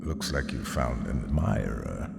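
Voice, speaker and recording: An actor playing a courteous, wry companion in a fantasy video game, speaking politely and articulately. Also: male voice, extremely low pitch, big pitch swings, unhurried, creaky, heavily acted. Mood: wry, teasing. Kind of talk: video game cutscene dialogue. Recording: noisy game audio.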